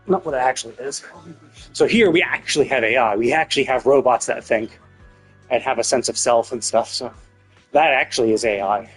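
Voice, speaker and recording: Husky man rambling over game music. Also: mid-range voice, a little fast. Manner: rambling, repetitive, emphatic musing. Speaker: live streamer playing a story game. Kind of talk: casual gameplay commentary.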